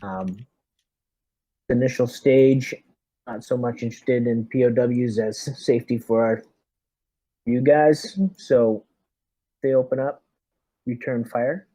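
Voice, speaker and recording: A man playing a tabletop roleplaying game as squad leader, giving deliberate, military-style orders. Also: mid-range voice, fairly even intonation, mellow, dry recording, poor audio. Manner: deliberate, military briefing phrasing. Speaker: tabletop RPG player leading the squad as lieutenant.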